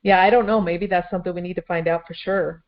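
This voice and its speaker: A woman's voice: fairly low pitch, steady tone, fast. A woman talking casually and conversationally in a group phone discussion. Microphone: phone conference call. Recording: dull lo-fi conference call audio.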